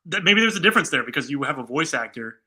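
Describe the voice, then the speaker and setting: A man's voice: fairly high pitch, very animated, fast, pushed and bright, breathy edge. A man co-hosting a casual fan talk show, speaking in an informal, conversational and noncommittal way. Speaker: co-host of a video game fan show. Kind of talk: casual conversational film discussion.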